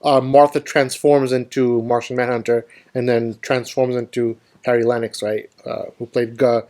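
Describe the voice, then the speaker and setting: A man's voice: medium pitch, little pitch movement, moderate pace, a little mellow. A man hosting a casual film discussion, explaining his view and putting questions to the panel. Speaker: host of a film discussion show.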